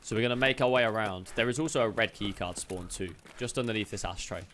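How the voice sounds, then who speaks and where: A man's voice: lowish voice, a bit bright, a little hiss or hum. A man casually narrating a video game loot walkthrough, chatty and upbeat, a little chesty with the odd cough. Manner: chatty, upbeat, quick asides to viewers. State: slightly chesty, occasional cough. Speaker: game streamer giving a loot guide.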